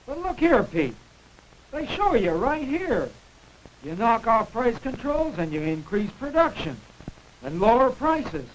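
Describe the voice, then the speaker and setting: A man's voice: very high voice, big pitch swings, a little slow, full character voice. An actor playing a stubborn, gullible American everyman in a 1940s educational film, speaking earnestly and insistently on a crackly soundtrack.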